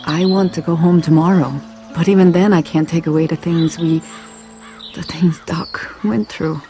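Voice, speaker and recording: An actress playing a gentle, weary adult mother in a video game, speaking softly and reflectively. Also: lowish voice, steady tone, moderate pace, faint music behind, lightly acted. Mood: troubled. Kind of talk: naturalistic video game acting.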